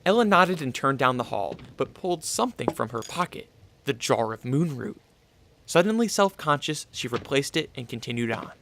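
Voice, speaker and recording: A man's voice: mid-range voice, big pitch swings, moderate pace, creaky, fairly bright tone, a little hiss or hum. A man narrating a scripted radio drama in a dramatic storytelling style. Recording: music behind the voice.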